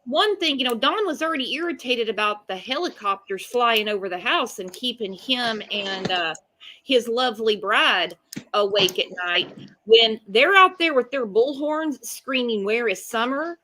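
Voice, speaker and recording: A woman's voice: a little high, lively intonation, moderate pace, sharp tone, a little hiss or hum, poor audio. A woman co-hosting a live true-crime chat show, talking in a blunt, emphatic, colloquial way. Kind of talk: casual opinionated chat.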